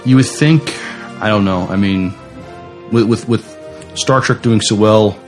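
Deep-voiced man, halting podcast news reader. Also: soft background music. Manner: halting, repeats words. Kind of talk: informal news reading and chat.

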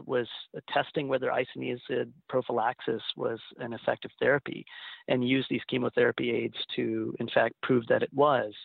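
Man, 30s, from Liberia, physician guest; gravelly, mid-pitched, measured storyteller; dry phone-line sound. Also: dry recording. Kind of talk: interview answers.

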